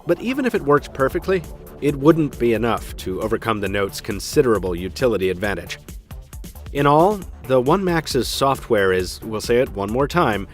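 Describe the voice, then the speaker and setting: A man hosting a tech show, narrating a scripted product review in an emphatic style with dry, wry asides. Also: medium pitch.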